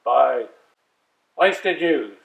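An elderly man in his eighties reading poetry aloud with deliberate, expressive phrasing and pauses, seated in a room at home. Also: medium pitch, gravelly. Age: 80s. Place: small domestic room indoors, seated at a wooden table. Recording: roomy, echoing, slightly distant microphone.

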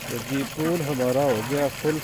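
A man casually narrating a village vlog about his ducks, in a relaxed, chatty way. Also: medium pitch, unhurried, background noise. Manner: relaxed, chatty, says "ji" often. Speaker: vlogger. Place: outdoor village yard with ducks.

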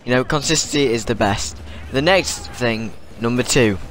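A man's voice: medium pitch, normal speed, a bit bright, a little hiss or hum. A teenage boy with a cold, rambling through casual tips to his viewers over gameplay, often repeating himself. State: has a cold. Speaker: gaming YouTuber.

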